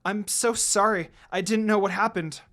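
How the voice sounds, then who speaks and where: A man's voice: high-pitched, steady tone, moderate pace, a bit bright. A man voicing an earnest, loyal young wolf demon woman, supportive and pleading. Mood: panicked.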